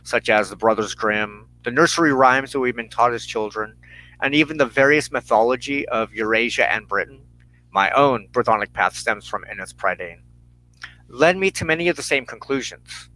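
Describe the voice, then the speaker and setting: A man hosting a religious broadcast, giving a solemn, measured sermon of personal testimony and teaching with emphatic phrasing and deliberate pauses. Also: mid-range voice, pushed and bright, no echo, poor audio.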